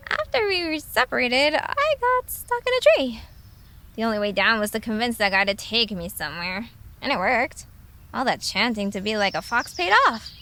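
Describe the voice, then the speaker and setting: A woman voicing a young, earnest but cunning fox in an audio drama, excitable and argumentative. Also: a little high, normal speed. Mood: triumphant, persuasive. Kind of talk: animated audio drama acting.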